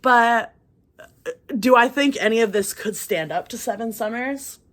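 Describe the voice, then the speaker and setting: Woman from texas, unhurried, bright tense voice, blunt, swears, laughs a lot. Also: fairly high pitch. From rural Texas. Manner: blunt, profane, laughs often. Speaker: music review co-host. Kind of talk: casual chat reviewing an album.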